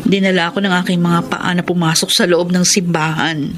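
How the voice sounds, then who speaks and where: A woman's voice: on the low side, flat delivery, brisk, natural voice. A woman with a Filipino accent acting a pious, sorrowful former sweetheart in a radio drama, solemn and dramatic. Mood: subdued, grave. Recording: radio drama with loud music underneath.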